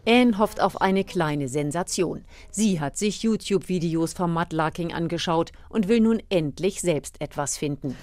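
A woman narrates a radio feature in a fairly low voice. Her delivery is scripted documentary storytelling, recorded close and dry with very little room sound. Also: moderate pace, hardly any pauses, a little mellow, no echo.

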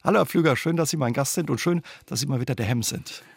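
A man's voice: medium pitch, fast talker, gravelly, breathy edge, dry recording. A man hosting a radio interview, asking friendly, leading questions. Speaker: radio host.

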